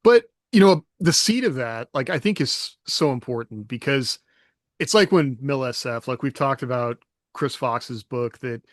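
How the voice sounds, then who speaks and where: A man co-hosts a writing podcast, thinking out loud as he talks. His voice sits at an ordinary middle pitch. The close microphone leaves almost no room sound. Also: animated, measured pace, dry recording. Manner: thoughtful, rambling with fillers. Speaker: podcast co-host. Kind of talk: casual chat.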